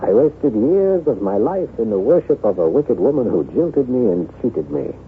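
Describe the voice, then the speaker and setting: An actor playing a lonely, self-loathing aristocratic former soldier in theatrical, formal, halting radio drama style. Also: male voice, mid-range voice, very animated, close to an everyday voice. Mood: warm, animated. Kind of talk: old-time radio drama acting. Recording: tinny band-limited vintage radio, hissy.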